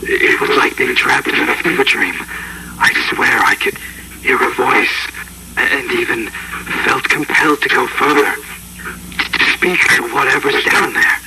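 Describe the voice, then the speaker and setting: A shaken anthropologist, played by a man, confesses in a halting, haunted way for an analog horror story. His mid-pitched voice has a gravelly creak, and the gritty tape is thick with noise. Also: expressive. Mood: fearful, haunted. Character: shaken male anthropologist confessing a dreadful mountain discovery. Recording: gritty, very noisy tape recording.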